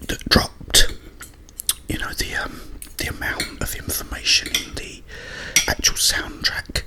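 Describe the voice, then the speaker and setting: A man whispering a rambling, halting ASMR monologue about video games, with a breathy unvoiced whisper and frequent pauses. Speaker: ASMR creator.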